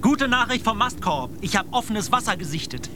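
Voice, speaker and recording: Hearty expedition seaman, high projecting voice, declamatory, rumbling outdoor noise behind, radio drama. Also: expressive, brisk, bright, forceful tone. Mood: elated. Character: exuberant hearty male seaman aboard an expedition ship. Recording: noisy outdoor scene with rumble.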